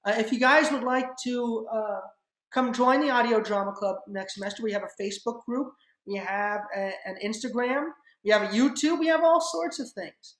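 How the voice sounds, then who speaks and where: A young woman with a fairly high voice speaks casually to a group on a video call. The sound is very roomy. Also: moderate pace. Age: young adult. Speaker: audio drama club organizer. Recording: echoey room on video call.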